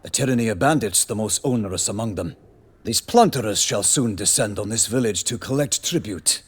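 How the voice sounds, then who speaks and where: An actor playing a noble, idealistic knight-errant in a fantasy game, speaking in a formal, florid, pompous manner. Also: male voice, fairly low pitch, lively intonation, fairly quick, breathy edge, no echo. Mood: solemn, courteous. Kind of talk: fantasy video game acting.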